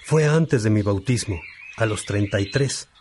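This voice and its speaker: An actor playing a fatherly, unhurried priest teaching his young brothers in a radio drama, with birdsong behind him. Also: fairly low pitch, a little mellow, a little hiss or hum, close to an everyday voice. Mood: fatherly, content. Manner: fatherly, unhurried, addressing followers affectionately.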